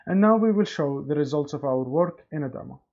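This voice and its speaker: A man's voice: fairly high pitch, animated, a little fast, a little mellow. A young man, a student presenter, reading a scripted technical report. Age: young adult. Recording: slightly dull, lo-fi microphone.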